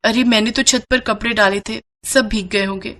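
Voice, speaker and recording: A woman's voice: a little high, steady tone, moderate pace, heavy background noise, close to an everyday voice. A woman voicing a meek, long-suffering young daughter-in-law, polite and plaintive, in a family story.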